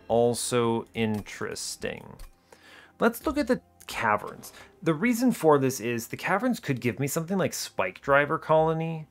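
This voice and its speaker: Man thinking aloud over game music. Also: medium pitch. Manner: explains his reasoning as he plays, self-questioning. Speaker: gaming video host. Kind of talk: casual strategy game commentary, thinking aloud. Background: music behind the voice.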